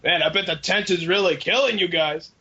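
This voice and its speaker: A man co-hosting a casual group podcast, chatting in a jokey, animated way and swearing freely. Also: high voice, a little fast, slightly rough. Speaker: podcast co-host. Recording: dull lo-fi voice chat.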